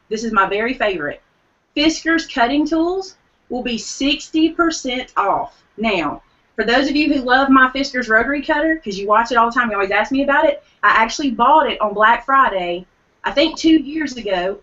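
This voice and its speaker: A woman's voice: a little high, a bit bright, highs cut off. A woman co-hosting a live craft show, eagerly announcing shopping deals while losing her voice. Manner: enthusiastic deal announcer. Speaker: co-host.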